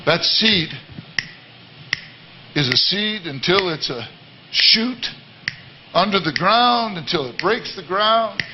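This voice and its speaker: A man with a baritone voice giving an earnest, repetitive sermon-style talk to a church congregation. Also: lively intonation, slow pace, long pauses, muffled recording, noisy background. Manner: earnest, repetitive, many restarts. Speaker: worship leader. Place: church service.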